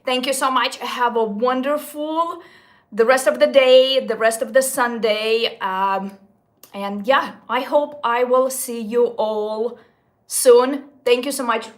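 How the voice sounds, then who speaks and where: A woman in her late thirties talks her viewers through her makeup at an unhurried, drawn-out pace. Her voice sits fairly high, and she sounds chatty and gushing, stumbling and restarting now and then. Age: 30s. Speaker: beauty vlogger. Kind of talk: casual makeup talk to viewers.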